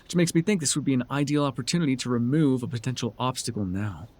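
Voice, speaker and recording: A man's voice: medium pitch, fast, darkish tone, natural voice. A man playing a sardonic, telepathic supervillain in a dramatic audio roleplay, with a theatrical, taunting way of talking. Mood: scornful, probing. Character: sardonic male telepathic supervillain, menacing yet curious. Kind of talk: dramatic ASMR roleplay acting.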